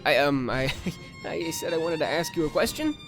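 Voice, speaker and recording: A man acts out a young space cadet, nervous and lovestruck. He stammers and hesitates, his pitch jumping about widely. Music plays under this anime parody. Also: expressive, normal speed. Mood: flustered.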